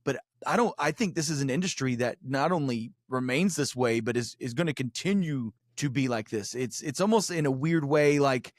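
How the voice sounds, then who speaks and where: A man in casual podcast conversation with a middling pitch. He rambles and repeats words as he works toward his point, swearing casually, and the sound is dry and close. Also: normal speed, hardly any pauses, no echo. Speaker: podcast guest and co-host of his own show.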